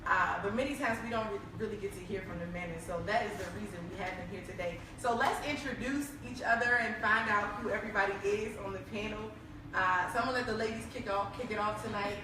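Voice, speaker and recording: A woman's voice: mid-range voice, fast talker. A woman hosts a panel talk show, chatting along in an upbeat way with plenty of "uh"s. Her voice rings in a very echoey room, picked up from a distance, with noise behind. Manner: upbeat, chatty, many fillers. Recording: echoey room, far from mic.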